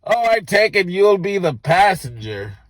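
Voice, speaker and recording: A creepy, drunk middle-aged man as a male audiobook narrator plays him. His voice is pitched up, and he jokes loosely in bar-side chat with an angry edge. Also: big pitch swings, a little slow, dry recording, poor audio. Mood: boozy, pushy. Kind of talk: audiobook character voice.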